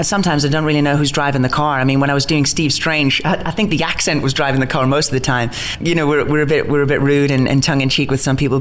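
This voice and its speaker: A man from the north east of England whose accent has become a mix of Geordie and American speaks quickly and excitedly, tripping over his words and laughing. His voice sits a little high. There is a faint hum of ambience behind him. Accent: mixed, mostly neutralised Geordie with American touches. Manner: rapid, bubbly, stumbling restarts, laughs often. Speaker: interviewed stage actor. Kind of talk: casual interview chat.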